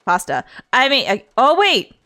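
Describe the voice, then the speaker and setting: A woman's voice: high-pitched, huge pitch swings, moderate pace, pushed and bright. A woman livestreamer chatting casually in a playful, giggly, rambling way. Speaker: streamer.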